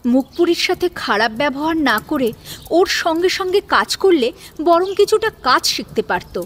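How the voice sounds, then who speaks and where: A woman narrating a scripted domestic drama as a storyteller, with an expressive, dramatic delivery. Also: high voice. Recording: music behind the voice.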